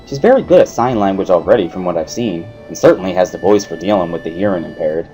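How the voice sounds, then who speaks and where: Casting: male let's play narrator, expressive first-person story reading over music. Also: low voice, fast talker. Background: background music.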